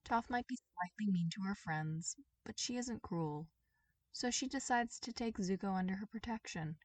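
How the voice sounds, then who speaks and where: A woman reading a story aloud as an amateur audiobook narrator, in an expressive style. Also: mid-range voice, normal speed, no echo, recorded from a distance.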